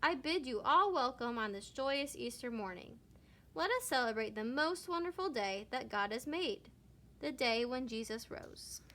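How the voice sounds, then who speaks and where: A woman voicing a kindly male bear preacher from a storybook, explaining things in a measured, earnest way. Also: sits high, expressive, a bit bright, heavily acted. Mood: solemn, joyful.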